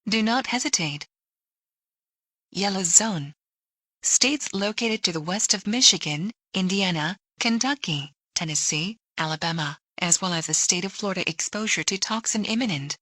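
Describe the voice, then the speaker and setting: A woman's voice: medium pitch, animated, normal speed, bright, forceful tone, no room sound. A woman announcing a scripted emergency alert, reading lists and instructions in a clipped, impersonal manner.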